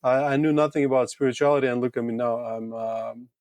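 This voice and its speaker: A man with a Latvian accent, a podcast guest telling his personal story casually in halting, thoughtful phrases with repeated words. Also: medium pitch, steady tone, darkish tone, no room sound. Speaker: podcast guest, retreat owner.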